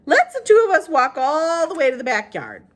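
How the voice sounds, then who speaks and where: Woman voicing a kindly old woman, high and folksy. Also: very animated, moderate pace. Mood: kindly, knowing. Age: older. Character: kindly, weary old woman sharing plain-spoken wisdom.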